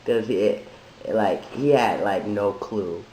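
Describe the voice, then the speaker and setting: Slow, low-voiced man, sick and halting. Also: warm tone. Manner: halting, thoughtful, with many restarts. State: sick, voice deeper than usual. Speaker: vlogger sharing a transition update. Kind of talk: reflective personal monologue. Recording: echoey room with faint hiss.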